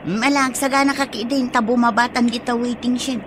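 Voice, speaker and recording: A woman plays an aloof young woman with an Ilocano accent in a radio drama. Her voice is high and snappy, with faint traffic-like noise in the background. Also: fairly even intonation, fairly quick, close to an everyday voice. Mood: annoyed.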